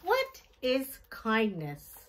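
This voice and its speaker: A middle-aged woman hosting a children's storytime, reading a picture book aloud in simple, deliberate phrases and putting questions to her young listeners. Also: on the high side, huge pitch swings, slight background noise. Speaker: children's storytime host and reader. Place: small decorated indoor room.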